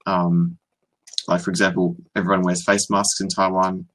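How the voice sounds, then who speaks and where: A young Australian man on a video call, tutoring in a relaxed, hesitant way with many ums and restarts. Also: deep voice, moderate pace. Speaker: online English tutor. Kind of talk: casual video-call conversation.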